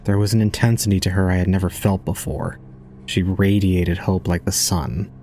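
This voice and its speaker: A man's voice: low voice, steady tone, normal speed, mellow, slight background noise. A man playing an aloof young man, narrating an audio drama in a formal, detached, cold way. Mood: brooding, detached.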